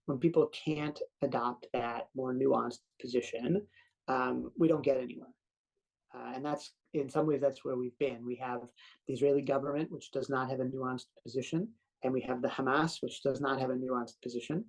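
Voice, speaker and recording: A man's voice: medium pitch, fairly even intonation, normal speed, creaky, a little mellow, poor audio. Thoughtful male professor being interviewed. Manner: thoughtful with fillers and restarts. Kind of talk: interview answer.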